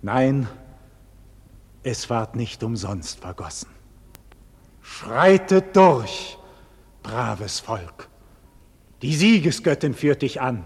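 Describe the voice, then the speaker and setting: Doomed heroic nobleman, slow solemn declamation, husky, classical stage style, long cavernous reverb, vintage radio drama. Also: medium pitch, very animated, darkish tone, exaggerated voice. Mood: rising fervour. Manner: solemn, slow, theatrical declamation with wide dynamic swings. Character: condemned heroic nobleman facing execution, proud, visionary, defiant. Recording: 1940s radio recording with long hall reverb.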